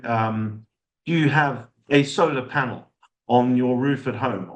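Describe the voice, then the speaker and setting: Middle-aged South African man, halting online speaker with lots of fillers, webcam sound. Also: mid-range voice, highs cut off. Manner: halting, many fillers and restarts. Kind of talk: online talk to an audience. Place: office with glass walls. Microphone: video call webcam.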